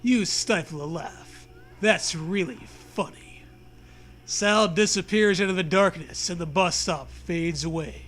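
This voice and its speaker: A man's voice: sits high, unhurried, fairly bright tone. A man co-hosting a comedic read-aloud, narrating in a mock-dramatic, jokey way with casual banter. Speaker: co-host reading a comedic story aloud.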